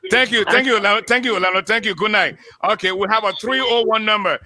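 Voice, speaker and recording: A call-in radio host in his fifties with a high, strikingly bright voice. He chats loosely with callers, repeating phrases and restarting sentences. His tone is forceful and tense. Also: fast, no echo, clean studio sound. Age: 50s. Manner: chatty, repeats himself, stumbles into restarts. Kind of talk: live call-in radio chat.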